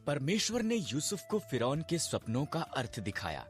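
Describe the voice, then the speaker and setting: A man narrating a scripted children's Bible story in a deliberate, dramatic storytelling manner. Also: fairly high pitch, sing-song, soft background music.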